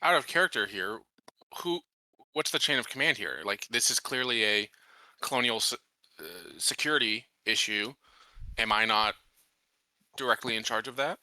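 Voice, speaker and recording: Guy at a tabletop game arguing his point, really crisp edgy voice, no room sound. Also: mid-range voice, normal speed, long pauses, pushed and bright, dry recording. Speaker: player in a tabletop roleplaying game. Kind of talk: casual discussion at a game table.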